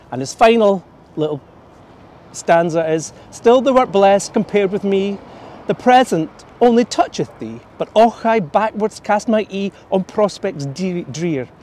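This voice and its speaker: A middle-aged Scottish tour guide with a fairly high voice speaks outdoors in a snowy city park. He tells stories and reads verse aloud from a folder. A camera picks him up from some distance. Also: a little mellow. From Scotland. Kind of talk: guided tour talk with poetry reading.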